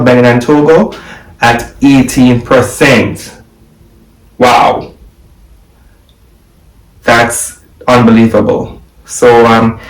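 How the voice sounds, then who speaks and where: A young man from Jamaica, talking excitedly to camera from a home office and repeating the numbers he reads out. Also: medium pitch, far from the mic. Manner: excited, exclaiming, repeating figures. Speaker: vlogger. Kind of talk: casual video commentary. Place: home office room, indoors. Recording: echoey room, voice from afar.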